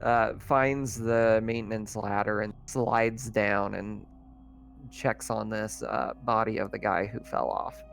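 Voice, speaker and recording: A man's voice: on the low side, level tone, slow pace, vocal fry, fairly bright tone, faint music behind. A man running a tabletop roleplaying game as game master, narrating off the cuff in a casual, conversational way.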